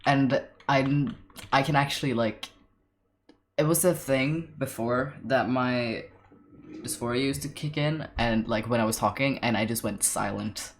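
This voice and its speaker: A young man in his late teens with a deep voice, chatting casually on a livestream while drawing, rambling with lots of fillers, laughing often and swearing casually. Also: unhurried. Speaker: artist streamer.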